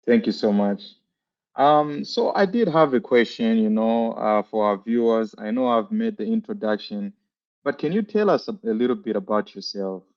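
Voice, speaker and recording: A man's voice: lowish voice, animated, normal speed, dull audio. A man hosting a podcast over a video call, asking long questions filled with fillers. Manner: warm-spoken, rambling, many fillers. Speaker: podcast host. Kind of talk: interview questions.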